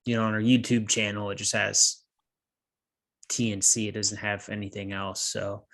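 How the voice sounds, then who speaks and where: A man's voice: on the low side, monotone, moderate pace, vocal fry, fairly bright tone. A man co-hosting a casual podcast, rambling and losing his train of thought, with a slightly nasal voice. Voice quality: a bit nasal. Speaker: podcast co-host. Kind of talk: casual chat.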